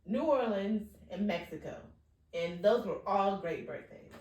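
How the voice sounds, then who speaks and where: Animated young woman in a roomy room. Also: medium pitch, a little slow, far from the mic. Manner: animated, laughs often, acts out quotes. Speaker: podcast co-host. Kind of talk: casual chat. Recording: roomy, from off-mic.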